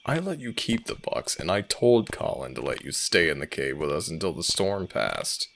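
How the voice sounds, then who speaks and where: A man's voice: low voice, sing-song, moderate pace, creaky, a bit bright, slight background noise, exaggerated voice. A man playing a smug, teasing alpha werewolf pack leader and older brother in an audio roleplay drama. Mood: smug, playful.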